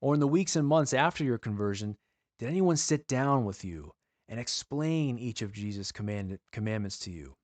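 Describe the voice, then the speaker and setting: A man's voice: medium pitch, animated, no echo. Earnest man preaching, dry close sound. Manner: earnest, questioning, slows for emphasis. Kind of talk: earnest recorded sermon to viewers.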